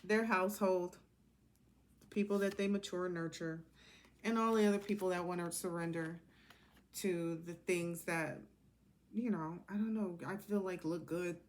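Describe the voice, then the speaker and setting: A woman's voice: fairly low pitch, fairly even intonation, moderate pace. A woman giving a casual, intuitive tarot reading, speaking informally and bluntly as she drifts between asides. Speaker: tarot reader.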